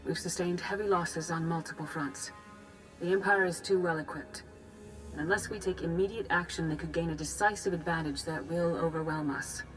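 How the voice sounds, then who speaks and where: An actress playing a grave, composed female Jedi master in a video game, speaking in a formal, measured, solemn way. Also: lowish voice, fairly even intonation, faint music behind, close to an everyday voice, low-quality recording. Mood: grave, urgent. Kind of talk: scripted video game dialogue.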